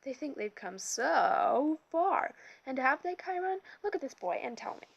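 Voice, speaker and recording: A woman's voice: high voice, very animated, a little slow, gravelly, muffled recording, pitched above the performer's own voice, exaggerated voice. A woman reading an audiobook, voicing a pudgy, impatient and scornful male camp director who drawls his contempt.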